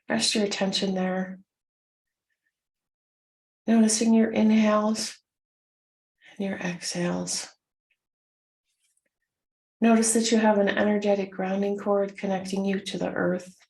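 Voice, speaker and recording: A woman leading a guided meditation, gently instructing in short, soothing phrases with unhurried pauses. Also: mid-range voice, little pitch movement, gravelly.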